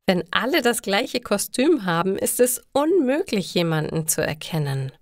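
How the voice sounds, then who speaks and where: A woman voicing a practical, patient mother in a learner's story, measured and matter-of-fact. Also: medium pitch, big pitch swings, no room sound, clean studio sound, close to an everyday voice.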